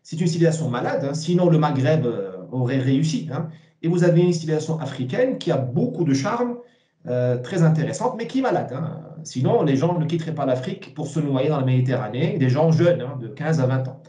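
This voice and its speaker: A man, giving emphatic, opinionated interview answers with repeated words and halting starts. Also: on the high side, lively intonation, warm tone, reverberant. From Morocco. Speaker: interview guest, essayist.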